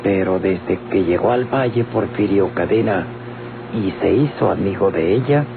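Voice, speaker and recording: Creaky-voiced, insinuating Mexican gentleman. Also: deep voice, moderate pace, narrow, tinny audio. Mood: accusing. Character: courteous, scheming man pressing women to sell. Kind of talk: old radio drama acting. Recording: noisy, band-limited old radio recording.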